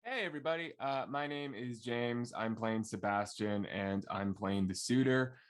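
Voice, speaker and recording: A man's voice: mid-range voice, moderate pace. A man who works as a voice actor, chatting casually in an easygoing, somewhat hesitant way. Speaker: voice actor guest. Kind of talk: casual chat.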